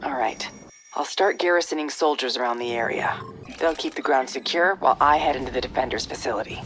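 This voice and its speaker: A woman's voice: extremely low pitch, a little fast, slight background noise. A woman playing a cool, wry female special-ops soldier in a video game, terse and focused. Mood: resolute. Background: loud music.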